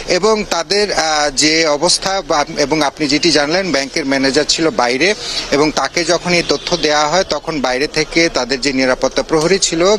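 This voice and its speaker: A male field reporter with a Bangladeshi accent and a fairly high voice, reporting live. He explains at length and drops in English terms, with faint noise from the location behind him. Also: a bit bright. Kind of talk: live unscripted news report. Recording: noisy live location audio.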